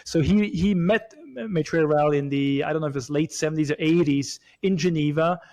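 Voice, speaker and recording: A man in his forties from Switzerland, chatting as a guest, talking haltingly with many restarts and fillers. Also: fairly high pitch, darkish tone. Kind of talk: casual chat.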